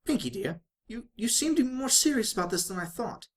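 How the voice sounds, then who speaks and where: Man putting on a high, genteel lady pony. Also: expressive, fairly quick. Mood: worried, earnest. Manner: genteel, wordy, fretful. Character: refined, dramatic, concerned lady unicorn pony.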